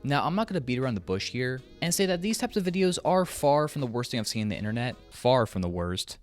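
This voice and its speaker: A man's voice: medium pitch, sing-song, fast talker, loud music behind the voice. A man hosting a scripted video essay as a commentator, with a sarcastic, joking manner and casual swearing. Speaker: YouTube commentator and host.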